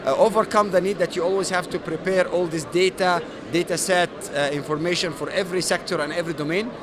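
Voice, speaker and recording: Man from Egypt explaining tech. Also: high voice. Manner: explains at length with frequent "uh" fillers. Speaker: solution architect. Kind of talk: trade show interview. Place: busy exhibition floor. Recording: noisy trade show floor.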